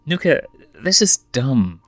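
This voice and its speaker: A man's voice: mid-range voice, sing-song, takes it slowly, no echo, soft background music, voice pushed up. A man voicing a frank, reluctant young lion cub, a younger brother with a plaintive, questioning manner, in a story reading. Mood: complaining.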